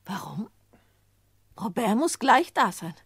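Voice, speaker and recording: A woman's voice: on the high side, very animated, slow pace, a bit bright, slightly rough, no room sound, clean studio sound, full character voice. Querulous domineering old mother. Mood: querulous, suspicious. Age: older. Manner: querulous, commanding, curt. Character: domineering bedridden old mother, sharp and complaining. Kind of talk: radio play acting.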